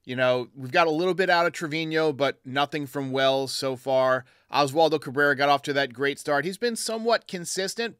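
A man's voice: on the high side, fairly bright tone, smooth, no echo, clean studio sound. A man hosting a fan call-in sports show, giving blunt, emphatic opinions in a casual way.

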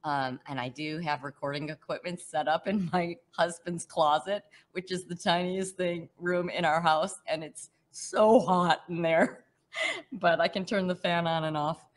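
Middle-aged actress, chatty and animated, slightly low voice, home video call. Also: female voice, unhurried. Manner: chatty, warm, animated, laughs often. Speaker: actress answering fan questions. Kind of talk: casual virtual panel Q&A. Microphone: headset on video call.

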